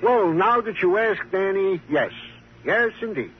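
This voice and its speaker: An actor playing a genial, eager, bumbling police sergeant sidekick in old-time radio drama. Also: male voice, high voice, animated, a little slow. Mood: genial, pleased. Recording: muffled, hissy old radio recording.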